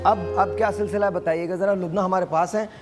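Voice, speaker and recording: Male morning show host, high-pitched, playful and teasing, lively studio chat with English sprinkled in. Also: fast talker, over music. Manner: mixes English words into talk.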